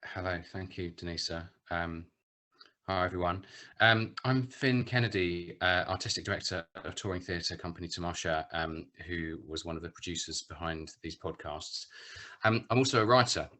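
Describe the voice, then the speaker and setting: A man's voice: low-pitched, moderate pace, sharp tone. A middle-aged British man giving a prepared, articulate speech as a writer and theatre director at an online event.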